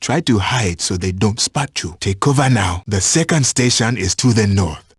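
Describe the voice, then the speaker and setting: A man playing a watchful African safari hunting guide in a video game, giving instructions in measured, formal phrasing. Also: on the low side, lively intonation, breathy edge, no echo, clean studio sound. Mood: calm, watchful. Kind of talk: scripted video game voice lines.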